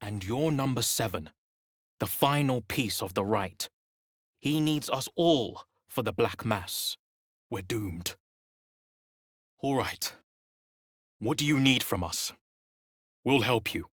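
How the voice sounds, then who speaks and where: A man's voice: mid-range voice, animated, slow pace, long pauses, a bit bright, no room sound, studio quality. An actor voicing a scornful, bitter male vampire spawn in a dramatic video game style, sneering in clipped sentences. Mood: bitter, fearful.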